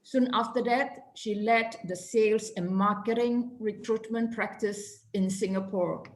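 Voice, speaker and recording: A woman's voice: mid-range voice, fairly even intonation, unhurried. A woman giving a formal introduction of a guest speaker at a club meeting over a video call, speaking carefully with restarts. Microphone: video call microphone. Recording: echoey room.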